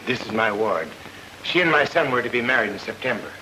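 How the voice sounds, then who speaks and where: A man's voice: mid-range voice, expressive, brisk, lightly acted. An actor playing a worried, formal, well-to-do older father in an old-time radio drama. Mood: worried, courteous. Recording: noisy old radio recording.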